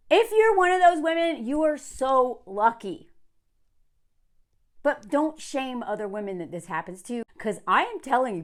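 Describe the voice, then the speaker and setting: An American woman from the South talking to camera in a casual, emphatic rant, sardonic and quick to find things funny. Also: a little high, a bit bright. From southern United States. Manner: emphatic, sardonic, laughing often. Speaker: online content creator.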